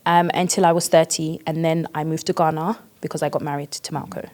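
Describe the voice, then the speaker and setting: Here is a woman in her thirties with a London accent, speaking casually as a podcast guest. She talks in a chatty, expressive way and laughs easily. Her voice sits fairly low for a woman. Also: a little fast. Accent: London English. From East London, England. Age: 30s. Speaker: guest, worship leader.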